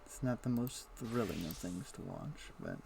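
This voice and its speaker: A man's voice: lowish voice, normal speed, vocal fry. A man streaming a video game, talking half to himself with dry, wry asides as he plays. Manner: muttering to himself while playing, wry asides. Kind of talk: casual live-stream gameplay commentary. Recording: steady background noise.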